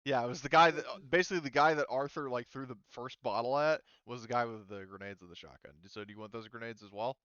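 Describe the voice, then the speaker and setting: A man running a tabletop game as game master, talking casually and haltingly as he thinks aloud. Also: medium pitch, pushed and bright, clean tone, dry recording, dull audio.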